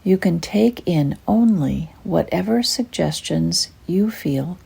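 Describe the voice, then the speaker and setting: An older woman with a soft, breathy, husky voice, gently and soothingly narrating a guided meditation in an unhurried way. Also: fairly low pitch, expressive.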